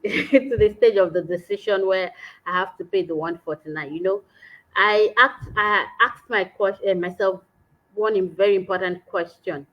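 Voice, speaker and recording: A woman from Nigeria joining a livestream as a guest, who laughs often and says "you know" a lot. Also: mid-range voice. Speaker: guest business partner. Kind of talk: casual livestream chat. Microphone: video call. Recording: dull lo-fi call audio.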